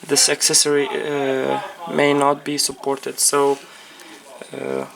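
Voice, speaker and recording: Low, flat-voiced Ukrainian-accented woman. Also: gravelly, crowd noise, rough recording. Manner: halting, repetitive phrasing in non-native English. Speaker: phone repair technician presenting a tutorial. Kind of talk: unscripted hands-on repair tutorial.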